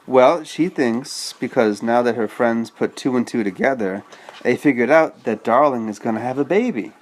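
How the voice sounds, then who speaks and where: A man's voice: mid-range voice, normal speed. A man reading a children's story aloud and chatting patiently with a child, explaining as he goes. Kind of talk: read-aloud storytelling with casual asides to a child.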